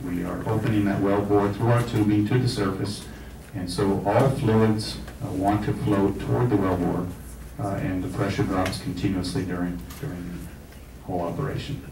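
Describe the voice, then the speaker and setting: Low flat-voiced man in echoey hall. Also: normal speed, vocal fry. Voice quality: low, flat, many fillers. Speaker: panel speaker on gas drilling. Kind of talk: public Q&A answer. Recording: echoey hall, far mic, noisy.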